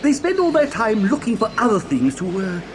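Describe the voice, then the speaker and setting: A man's voice: sits high, huge pitch swings, brisk, noisy background. A man playing an eccentric, gleeful chocolate factory owner in an audio dramatisation, effusive, stammering and theatrical. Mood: eager storytelling.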